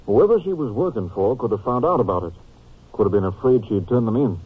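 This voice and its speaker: An actor playing a serious, methodical American police captain in a 1950s radio drama, briefing in a matter-of-fact way. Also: male voice, medium pitch, lively intonation, fairly quick, slightly rough. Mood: grave. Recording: muffled, narrow-band old radio recording.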